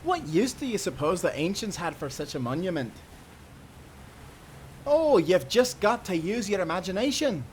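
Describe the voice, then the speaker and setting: A man's voice: sits high, very animated, moderate pace, slight background noise. A man playing a cheerful, dreamy young sailor with old-time nautical speech in an audio roleplay. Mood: cheerful, wondering. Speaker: sailor guide and companion.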